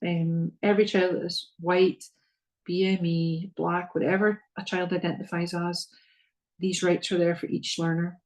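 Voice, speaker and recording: A middle-aged woman with a Northern Irish accent, giving an earnest slide presentation to a parents' group, often pausing with ums and repeating words. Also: on the low side, darkish tone, low-quality recording. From Northern Ireland.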